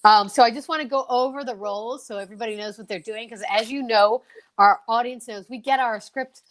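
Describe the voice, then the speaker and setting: A woman with a fairly high voice hosts an unscripted show. She talks informally, slipping between teasing chat and big announcer-style hype. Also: a little fast, fairly bright tone. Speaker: host of an online radio-play show. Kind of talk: lively unscripted show hosting.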